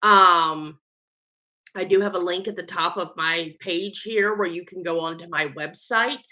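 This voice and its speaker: A woman's voice: fairly low pitch, a little slow, sharp tone, muffled recording. A tired woman with a Southern American accent, chatting casually to camera on her baking vlog, rambling with lots of ums. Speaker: home baker and vlogger.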